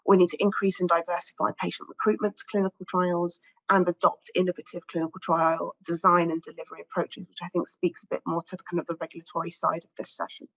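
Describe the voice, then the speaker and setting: A woman speaking on an expert panel, slightly croaky from a cold, giving a measured prepared statement with frequent ums and repeated words. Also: fairly low pitch, level tone, fairly bright tone, low-quality recording. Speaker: research director on an expert panel.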